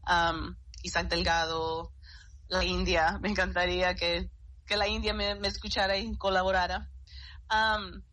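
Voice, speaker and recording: A woman's voice: on the low side, flat delivery, unhurried, a bit bright, rough recording. A woman, a salsa singer, answering interview questions in a chatty way with many fillers and English words dropped in.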